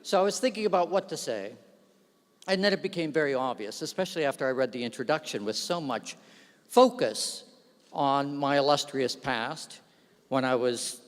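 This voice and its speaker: A man giving a humorous, self-deprecating speech at an awards ceremony, telling stories with lively asides into a stage microphone. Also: mid-range voice, normal speed. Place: large event hall. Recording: long reverb of a large hall.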